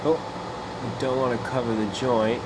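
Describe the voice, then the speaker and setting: A man's voice: mid-range voice, normal speed. A man giving a casual step-by-step narration as a repair technician, talking through his actions as he works. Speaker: electronics repair technician presenter. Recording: steady fan-like background noise.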